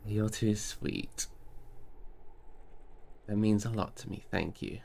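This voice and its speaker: A man with a creaky voice, hosting a livestream where he chats casually and reads a book aloud expressively. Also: lowish voice, fairly even intonation, takes it slowly, darkish tone. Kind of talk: casual livestream chat and expressive storybook narration.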